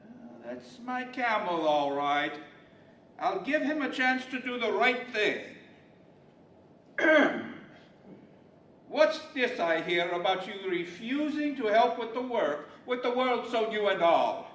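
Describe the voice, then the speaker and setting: An echoey, distant stage recording of an older man as a pompous djinn who rules the deserts. He speaks high and slowly, scolding like an imperious boss in old-fashioned radio theater. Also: big pitch swings, a bit bright, exaggerated voice. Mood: commanding. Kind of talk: 1940s-style radio theater acting. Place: large library event room, live stage. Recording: distant, echoey live hall with faint noise.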